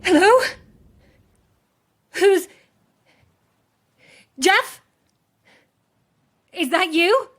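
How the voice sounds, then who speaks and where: An actress playing an anguished, defiant, guilt-ridden lighthouse keeper in a horror audio drama. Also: female voice, very high voice, expressive, extremely slow, long pauses, a bit bright, reverberant, long reverb tail, exaggerated voice. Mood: panicked, desperate.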